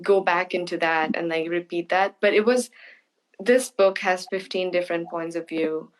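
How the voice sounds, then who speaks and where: A woman's voice: on the low side, poor audio. A woman author being interviewed, talking casually and thoughtfully, often restarting her sentences. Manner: thoughtful, halting with restarts and soft laughs.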